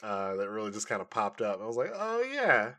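A man's voice: on the low side, wildly swooping pitch, fairly quick, pushed and bright. Casual, chatty male podcast host. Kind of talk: casual nerd-news podcast chat.

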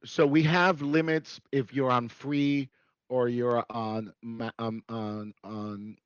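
A man born in New York City, a guest in a casual interview who talks in an animated way, laughs often and restarts his sentences. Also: mid-range voice, slow, smooth, no room sound, muffled recording.

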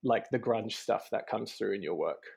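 A male musician talks loosely through his band's influences, stopping often on ums and likes. His voice has a gravelly edge with a husky, breathy grain. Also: on the low side, normal speed, a little mellow. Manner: hesitant, many ums and likes. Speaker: band member, guitarist. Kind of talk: casual interview chat.

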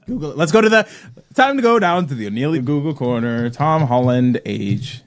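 A man's voice: medium pitch, expressive, fairly quick. A young man co-hosting a podcast with casual film banter, opinionated, thinking aloud and swearing freely. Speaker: podcast co-host. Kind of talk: casual banter about films.

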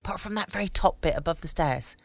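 A woman's voice: lowish voice, lively intonation, fast talker, vocal fry, no echo, clean studio sound. A British woman chatting casually as the host of a late night bedtime podcast. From United Kingdom.

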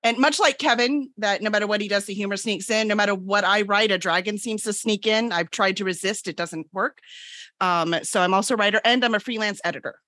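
A woman's voice: mid-range voice, brisk, fairly bright tone, no echo. A woman chatting casually as a guest writer and editor, lightly self-mocking. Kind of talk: casual podcast chat.

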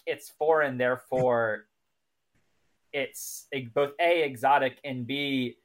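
A man co-hosting a podcast, chatting casually and analytically about a TV show. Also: mid-range voice. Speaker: podcast co-host.

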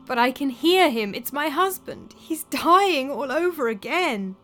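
A woman voicing a terrified, tearful medieval widow who pleads for help, high and strained. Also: lively intonation, a little slow, a bit bright.

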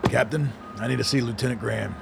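An actor playing a weary, gruff aging army colonel with a gravelly voice and clipped military delivery in a radio drama. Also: male voice, mid-range voice, fast, over loud music. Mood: curt, weary. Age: older.

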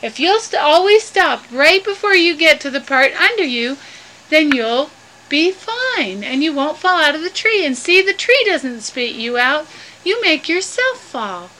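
A woman's voice: sits high, sing-song, a little slow, pushed and bright, a little hiss or hum, pitched above the performer's own voice, full character voice, poor audio. A woman voicing a tiny, sensible, loyal friend in a storybook tale, explaining things patiently and emphatically. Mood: firm, warning. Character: tiny, sensible, loyal little shoulder-riding friend Inchi.

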